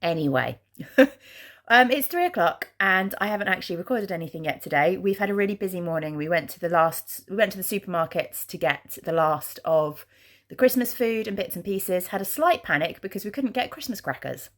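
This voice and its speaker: Husky-voiced woman with a congested throat. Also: lowish voice, lively intonation, a little fast. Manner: rambling, chatty, with many ums. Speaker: craft vlogger. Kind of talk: casual vlog chat. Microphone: handheld camera.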